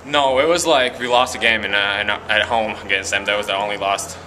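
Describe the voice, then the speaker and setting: A young man from Latvia with a Latvian accent, a college hockey player giving a halting post-game interview full of fillers and restarts in an arena hallway. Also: lowish voice, fairly even intonation, background noise. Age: young adult.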